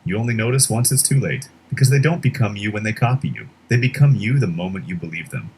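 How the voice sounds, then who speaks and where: Guy doing horror explainer narration, deepish voice that moves around a lot, dramatic storyteller, bit of noise. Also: animated, quick pace, a little mellow.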